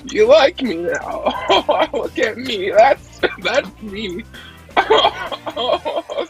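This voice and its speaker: A woman's voice: fairly high pitch, rough recording. A woman playing a panicky, wheezing insomniac asthmatic in an improvised parody pill commercial, melodramatic and giggly. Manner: breathy gasping, giggly, melodramatic. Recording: music playing behind the voice.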